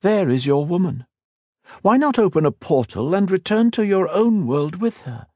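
A calm, coaxing elf woman who is secretly a monster, as a male narrator puts her on. The voice sits high for him and moves through a lively range, softly measured and persuasive. It is a dry, close storybook read. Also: no room sound.

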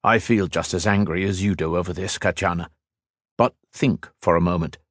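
A man playing a stern, protective king and father in an audiobook, speaking with deliberate authority. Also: low-pitched, fairly bright tone, dry recording, studio quality. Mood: grave, reasoning.

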